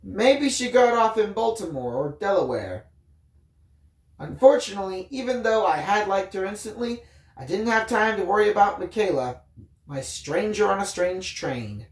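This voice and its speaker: A woman's voice: mid-range voice, expressive, moderate pace, echoey room, lightly acted. A woman reading a first-person audiobook as an earnest, bookish boy who secretly hunts aliens. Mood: reflective, wistful.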